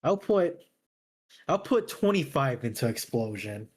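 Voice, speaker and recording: A man's voice: mid-range voice, very animated, unhurried, breathy edge. A man taking part as a player in a tabletop game, chatting casually and thinking aloud in an informal, musing way. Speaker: player creating a character.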